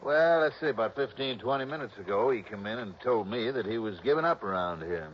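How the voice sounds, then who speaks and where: An actor playing a folksy, drawling small-town police captain in a 1960s radio drama. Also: male voice, medium pitch, lively intonation, fairly quick, slight background noise, close to an everyday voice. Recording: dull old radio broadcast.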